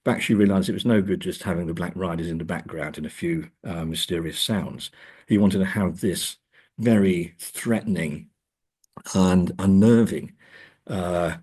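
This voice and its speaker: A man's voice: sits low, moderate pace, mellow, slightly rough. A man speaking as an academic guest in an interview, discussing in a conversational, searching way and stressing key words. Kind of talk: conversational literary and film discussion.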